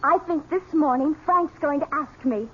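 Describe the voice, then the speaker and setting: A woman's voice: sits high, moderate pace, lightly acted. An actress playing a headstrong, lovestruck young woman who loves horses, in theatrical, impassioned 1970s radio drama style. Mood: playful, hopeful. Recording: dull, noisy 1970s radio recording.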